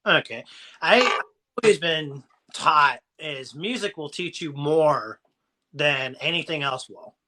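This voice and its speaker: A man's voice: a little high, slow, sharp tone, poor audio. A man with a southern Ohio American accent, hosting a casual live chat and giving rambling, folksy encouragement. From Ohio, USA. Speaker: motivational live-stream host.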